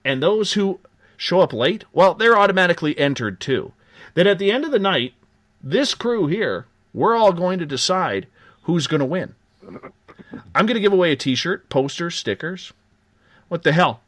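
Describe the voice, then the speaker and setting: A man's voice: high-pitched, very animated, normal speed, fairly bright tone, no room sound. A man hosting a live late-night radio talk show, giving upbeat, joking promotional patter. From Canada.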